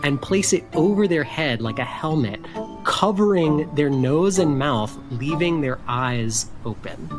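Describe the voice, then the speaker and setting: A game master narrates a fantasy adventure in a mid-pitched voice. The delivery is slow, expressive and dramatic, with music clearly underneath.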